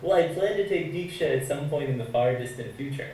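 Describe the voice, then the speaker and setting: A man's voice: a little high, animated, brisk, warm tone. A man asking a teacher questions as a student, careful and hesitant, with roundabout phrasing, distant in an echoey space. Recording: echoey, distant, faintly noisy microphone.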